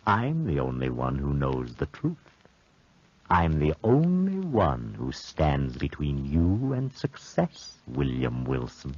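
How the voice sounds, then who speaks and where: An actor playing a man's menacing ghostly double, slow, ominous and taunting, in 1940s radio horror style. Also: rumbling low, wildly swooping pitch, a little mellow, breathy edge, heavily acted. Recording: dull 1940s radio recording.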